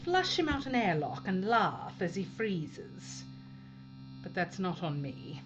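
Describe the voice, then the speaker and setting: A tough female spaceship captain in a sci-fi radio play , acted by a woman. Her delivery is lively and varied, blunt and drily defiant. The audio is a little dull, with music clearly underneath. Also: mid-range voice, takes it slowly. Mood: bitter, wry. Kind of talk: science-fiction audio drama acting. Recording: dull lo-fi sound over music.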